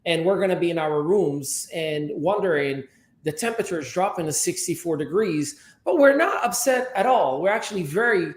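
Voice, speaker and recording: An upbeat talk host leads the chat with playful teasing. The voice sits at a middle pitch and rings with the echo of the room around the mic. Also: lively intonation, moderate pace. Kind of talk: casual chat.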